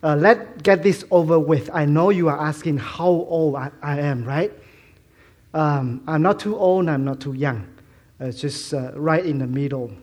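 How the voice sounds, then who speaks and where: A middle-aged man from Malaysia preaching a sermon in English, with a mixed Malaysian, Hong Kong and Fuzhou accent, halting with many fillers and restarts and self-deprecating humor. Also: a little high, a little mellow. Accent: Malaysian Chinese English, mostly Fuzhounese-tinged.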